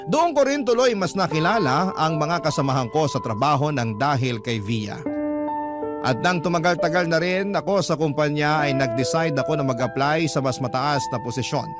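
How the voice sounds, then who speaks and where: Higher-pitched Filipino male radio storyteller. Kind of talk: first-person dramatic story narration, reading a listener's letter. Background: background music.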